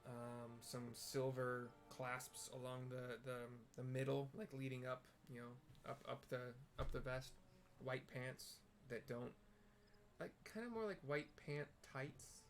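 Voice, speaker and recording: A man's voice: medium pitch, measured pace, faint music behind. A young man playing a video game and describing the scenes aloud for blind viewers, hesitant with many fillers and restarts. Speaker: gamer and audio describer. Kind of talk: casual let's-play with audio description.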